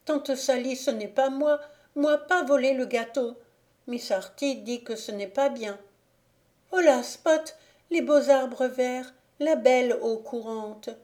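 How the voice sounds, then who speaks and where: A woman's voice: high-pitched, takes it slowly, a little mellow, voice pushed up. A woman voicing a delirious feverish little boy. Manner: raised, breathless, pleading delivery. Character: feverish delirious young boy, frightened and pleading.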